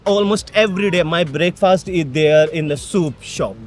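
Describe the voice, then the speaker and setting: A man's voice: a little high, moderate pace. A man from Pakistan with a Pakistani accent, chatting casually and emphatically as an interviewed passer-by outdoors. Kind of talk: casual chat. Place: outdoors by a city bridge.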